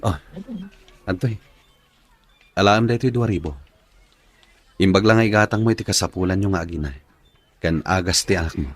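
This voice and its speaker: A man's voice: deep voice, normal speed, long pauses. A man playing an earnest, caring young office worker and suitor in an Ilocano radio drama, speaking expressively. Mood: concerned, tender.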